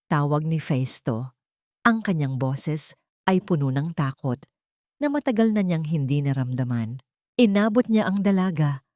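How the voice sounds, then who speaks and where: Woman reading a dramatic audiobook, lowish voice, really dry close sound. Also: a little mellow, dry recording. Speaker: audiobook narrator. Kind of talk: dramatic scripted story narration.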